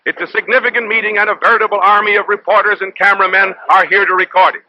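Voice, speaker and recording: A man's voice: sits high, fairly even intonation, rapid-fire, no room sound, muffled recording. An American man narrating a 1930s newsreel in a declamatory, dramatic announcer's manner, on an old film soundtrack.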